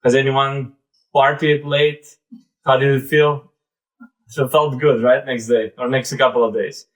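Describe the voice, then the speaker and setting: A man in his thirties with a Belarusian accent gives an informal live presentation in a halting, conversational way, often saying "like" and "basically". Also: medium pitch, flat delivery. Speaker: presenter, startup founder.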